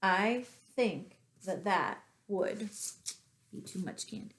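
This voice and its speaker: A woman reading stories aloud to young children, expressive, pausing to ask them questions. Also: medium pitch, creaky. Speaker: children's storytime reader and teacher.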